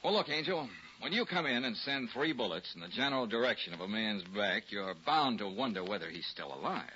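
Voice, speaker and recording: An actor playing a confident, wry private detective in a 1940s radio drama, explaining things knowingly. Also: male voice, on the low side, very animated, brisk, bright, forceful tone. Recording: muffled, noisy 1940s radio recording.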